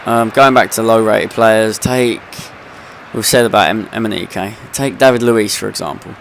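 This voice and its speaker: A man's voice: lowish voice, fairly even intonation, normal speed, gravelly, slight background noise. A young man with a slight cold, ranting in casual gameplay commentary and swearing freely. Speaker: gaming video host.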